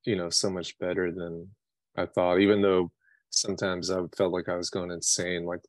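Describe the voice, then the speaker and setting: Low-pitched American man, rambling and self-deprecating. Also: little pitch movement, moderate pace, dry recording. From New York City, United States. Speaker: singer-songwriter being interviewed. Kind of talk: casual interview chat.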